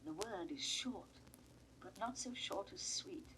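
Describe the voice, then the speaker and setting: An aging British duchess, a desperate mother pleading in classical verse. Her voice swoops widely and moves slowly, with impassioned delivery, on a vintage recording with faint noise. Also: medium pitch, animated. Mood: coaxing. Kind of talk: classical Shakespearean stage acting.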